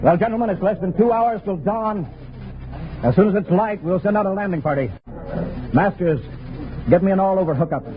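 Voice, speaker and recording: An actor playing a stern, commanding naval spaceship captain in a radio drama, giving clipped military orders with authority. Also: male voice, high-pitched, a little fast, long pauses, very noisy, lightly acted. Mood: crisp command. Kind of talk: old-time radio drama acting. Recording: tinny narrow-band old radio recording, music behind.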